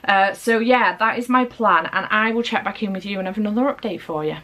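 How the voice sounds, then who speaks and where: A woman's voice: mid-range voice, a little fast, pushed and bright. A young English woman chatting casually in a vlog, her nose stuffed up from a cold, rambling and swearing freely. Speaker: vlogger. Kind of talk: casual monthly vlog chat. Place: at home, indoors.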